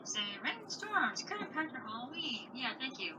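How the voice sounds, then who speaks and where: A woman's voice: high voice, very animated, moderate pace, far from the mic, noisy background. A woman making casual, off-the-cuff banter as a companion chiming in on a video.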